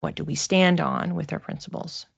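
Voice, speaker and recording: A woman's voice: deep voice, very animated, moderate pace, creaky, husky. A woman teaching a class in an informal, conversational way, explaining point by point and often setting out short listed phrases. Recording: slightly dull, lo-fi audio.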